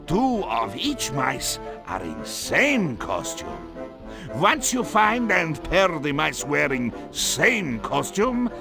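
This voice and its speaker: An actor playing an eccentric, excitable circus master in a video game, with a theatrical Russian-style accent and broken English. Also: male voice, on the high side, creaky, full character voice. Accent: Eastern European, Russian-style. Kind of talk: animated video game character acting.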